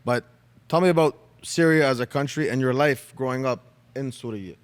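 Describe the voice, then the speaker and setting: A Canadian man hosts a podcast chat, eager and casual, winding through long questions and restarting sentences. His voice sits at an ordinary middle pitch. The room adds a noticeable lingering reverb. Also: normal speed, clean tone. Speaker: podcast host.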